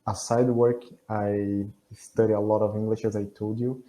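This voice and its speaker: Brazilian man with a dark voice. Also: fairly low pitch, level tone, low-quality recording. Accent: Brazilian Portuguese. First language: Portuguese. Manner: careful, halting, with frequent restarts and soft laughs. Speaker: language student. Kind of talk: casual video call chat. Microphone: video call.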